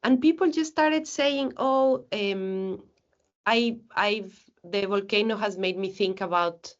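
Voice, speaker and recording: A woman's voice: mid-range voice, slow pace, muffled recording. Earnest woman researcher giving a seminar. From Ecuador. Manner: earnest, reflective, with frequent restarts. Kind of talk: academic seminar presentation.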